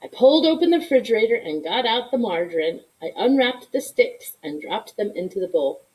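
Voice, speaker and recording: A woman reading a children's story aloud in an expressive narration, with asides to young listeners. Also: a little high, fairly quick, poor audio. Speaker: storybook reader.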